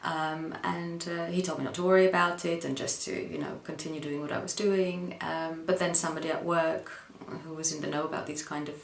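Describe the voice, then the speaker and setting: A woman from the Netherlands giving a personal account as an interview guest, speaking hesitantly with frequent pauses and ums, recorded in an echoey room. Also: deep voice, steady tone, creaky, slight background noise.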